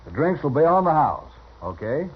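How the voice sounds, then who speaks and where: An actor playing an anxious-to-please saloon bartender in a 1950s radio Western, obliging and conciliatory. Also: male voice, fairly high pitch, very animated, normal speed, breathy edge. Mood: placating. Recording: dull, noisy vintage radio recording.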